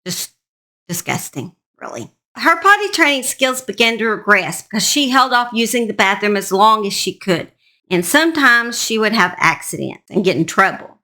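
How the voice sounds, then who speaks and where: A woman's voice: mid-range voice, big pitch swings, normal speed, pushed and bright. A woman hosting and telling a true-crime story in a folksy, emphatic, conversational way. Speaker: host narrator.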